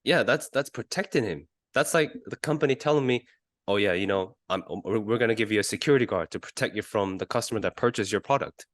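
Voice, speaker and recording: A man co-hosting a sports podcast in casual discussion, arguing his points haltingly and often repeating words. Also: fairly low pitch, a bit bright, no echo. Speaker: podcast co-host.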